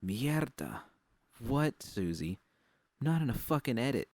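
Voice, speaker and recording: A man's voice: medium pitch, big pitch swings, measured pace, darkish tone, dry recording, voice pushed up. A man voicing an irritable, stubborn young woman who works as a technician, exasperated and defensive, in a cyberpunk story reading. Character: irritable, stubborn young female braindance technician, sharp-tongued.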